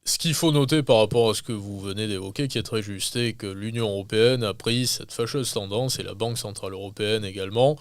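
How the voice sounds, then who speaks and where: A man from France, speaking as a guest in a podcast interview, giving measured, emphatic, critical commentary. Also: fairly low pitch, no echo. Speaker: guest analyst.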